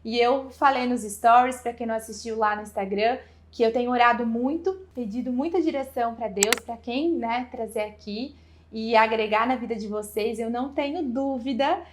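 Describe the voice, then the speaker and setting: A woman's voice: fairly high pitch, normal speed. A woman hosting an informal video interview, speaking effusively and directly to viewers. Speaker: host interviewing a guest. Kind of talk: informal video channel introduction and conversation.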